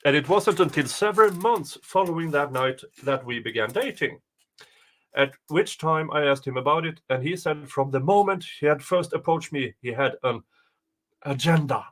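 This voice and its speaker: A man reading aloud casually as a co-host, dropping in deadpan, hesitant asides. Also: a little high, moderate pace. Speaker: co-host reading and reacting to a document.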